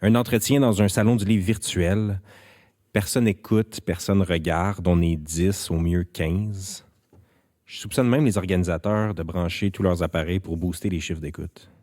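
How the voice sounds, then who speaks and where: Deep dark-voiced Quebec French narrator. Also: male voice, measured pace. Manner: wry, understated storytelling. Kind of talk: scripted first-person narration. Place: recording studio. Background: faint music.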